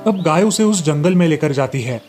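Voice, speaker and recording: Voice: mid-range voice, lively intonation, moderate pace, darkish tone, soft background music. A narrator reading a scripted moral story in storybook style.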